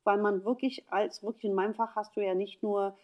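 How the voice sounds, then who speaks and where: A woman's voice: mid-range voice, a little fast, muffled recording. Cheerful woman chatting in German. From USA. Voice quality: lively, laughs often, many fillers. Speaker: gynecologist guest. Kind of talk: casual podcast chat.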